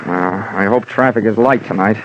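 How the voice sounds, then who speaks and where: An actor playing a wry, slangy, hardboiled insurance investigator who also narrates an old-time radio drama. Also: male voice, fairly low pitch, moderate pace, a little hiss or hum, natural voice. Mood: determined. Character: wry, tough American insurance investigator hero. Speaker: lead and narrator. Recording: muffled old radio recording.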